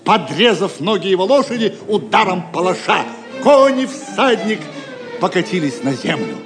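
A man's voice: high voice, big pitch swings, normal speed. A man narrating an audio drama in a dramatic scripted storytelling style, with music beneath him. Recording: music under the voice.

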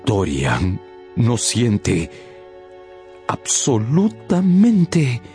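A man narrating a radio drama in an intense, theatrical way with deliberate pauses. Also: mid-range voice, huge pitch swings, a little mellow, soft background music.

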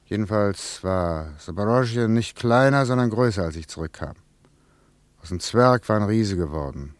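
A man playing a reflective working man in a German radio play, musing in halting phrases. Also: on the low side, expressive, dry recording, lightly acted. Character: Ludwig, a reflective working man returned to his childhood town.

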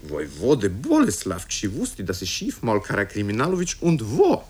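A man's voice: on the low side, wildly swooping pitch, normal speed, darkish tone, husky, voice pushed up, heavily acted. A man voicing a fawning, anxious schoolteacher in a storybook tale, pompous, florid and wheedling. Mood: jubilant urgency.

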